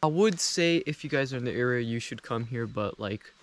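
A man's voice: mid-range voice, animated, a little fast, slight background noise. Rambling young man vlogging. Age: young adult. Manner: rambling, self-deprecating. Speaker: travel vlogger. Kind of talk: casual chat to camera. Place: outdoors at a rural mountain train station in rain.

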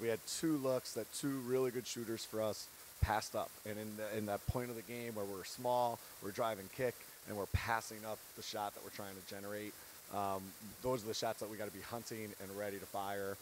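A man's voice: fairly low pitch, fairly even intonation, fairly quick, slight background noise, poor audio. A man in his thirties, a head basketball coach, giving an earnest, candid post-game interview on a handheld mic. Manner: earnest, candid, laughs between points. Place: courtside set in an empty arena.

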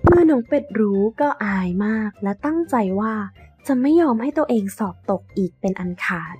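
A woman's voice: high-pitched, expressive, a little fast, dark tone, slightly rough, faint music behind. A woman narrating a scripted story for children as a storyteller.

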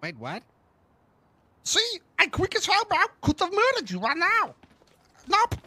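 Goofy bragging high bright voice. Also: male voice, wildly swooping pitch, unhurried, no room sound. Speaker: game player in a clip. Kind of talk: joking in-game banter.

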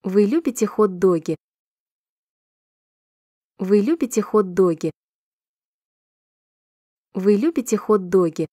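A woman reading short sentences slowly and clearly for a language-listening drill, repeating each one in a measured, even way. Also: medium pitch, no room sound, clean studio sound. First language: Russian. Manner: clear, measured, evenly paced repetition. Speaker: Russian sentence reader.

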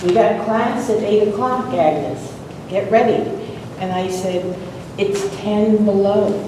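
A woman's voice: mid-range voice, slow pace. A woman in her eighties from Long Island, telling personal memories to an audience. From Long Island, New York. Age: 80s. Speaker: college alumna, audience speaker. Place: lecture room with seated audience. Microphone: distant camera microphone. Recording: echoey room, distant mic, clear noise.

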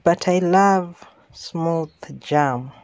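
A woman's voice: fairly low pitch, drawn-out words, a little mellow, lo-fi sound. A woman hosting her home cooking channel, talking casually over the footage with frequent restarts and ums.

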